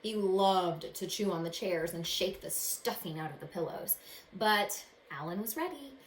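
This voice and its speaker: A woman reading a children's storybook aloud with dramatic expression, as if to young listeners. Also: medium pitch. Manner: dramatic, pausing to ask young listeners questions. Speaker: teacher reading a picture book aloud to children. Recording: roomy echo, faint background noise.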